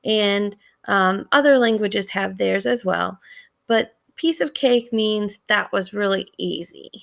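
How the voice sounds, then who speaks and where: Female teacher, conversational explainer, unhurried with a creaky edge, poses questions as she goes. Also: mid-range voice. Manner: conversational, asks questions, thinks aloud. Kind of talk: casual read-aloud lesson commentary.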